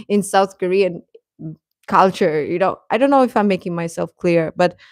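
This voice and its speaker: A woman hosting a livestream, giving casual fan commentary to her chat in a rambling, halting, emphatic way that repeats points. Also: lowish voice, no echo, clean studio sound. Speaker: livestream host.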